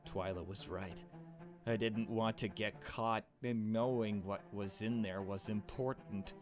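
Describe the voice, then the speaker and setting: A man reading a visual novel aloud as a let's player, in hushed storytelling narration with casual asides. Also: lowish voice, fairly even intonation, measured pace, faint music behind.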